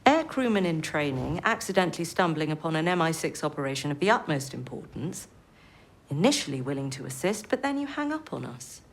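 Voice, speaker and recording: An actress playing a stern, authoritative intelligence chief with a clipped, reproachful manner in a cinematic game. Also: female voice, deep voice, very animated, brisk, exaggerated voice.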